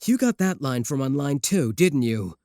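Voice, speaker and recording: Guy doing a cocky lovesick werewolf, sarcastic, braggy, mid pitch, really dry close sound, asmr roleplay comedy. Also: sing-song, normal speed, gravelly, darkish tone, dry recording. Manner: earnest boasting, dry sarcastic jabs. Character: cocky, obsessive, lovesick male werewolf, easily offended.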